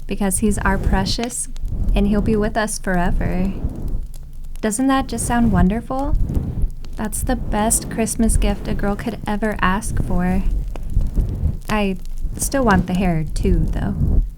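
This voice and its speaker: A woman's voice: mid-range voice, steady tone, slow pace, long pauses, noisy background, lightly acted. A woman playing a doting, possessive, seductive yandere maid in roleplay ASMR, cooing and teasing in a syrupy way. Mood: sweetly possessive.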